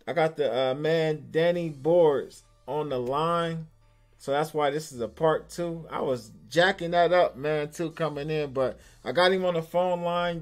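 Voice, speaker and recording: Casual man hosting, higher-pitched voice, music behind. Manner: informal, slangy talk. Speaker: host of an online call-in show. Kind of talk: casual live-stream interview.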